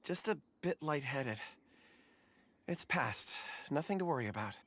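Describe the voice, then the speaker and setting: A woman voicing a weary young girl in a video game, talking haltingly with sighs. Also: sits low, animated, full character voice. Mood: faint. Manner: halting, sighing, hesitant. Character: weary, faint young girl, hesitant and soft.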